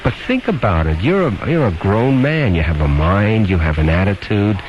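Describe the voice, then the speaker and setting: A man's voice: fairly low pitch, big pitch swings, moderate pace. A man hosting a radio call-in show, questioning and advising callers in a blunt, probing, opinionated way. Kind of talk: probing phone-in advice conversation. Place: radio studio. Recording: noisy, dull lo-fi AM radio aircheck.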